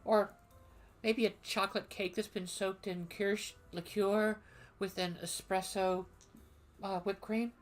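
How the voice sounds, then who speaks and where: A woman streamer chats loosely and playfully as she plays, wandering off on tangents. Her voice is mid-pitched, and faint game music sits underneath. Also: fairly even intonation, slow pace. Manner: chatty, playful, rambling. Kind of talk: casual gameplay commentary.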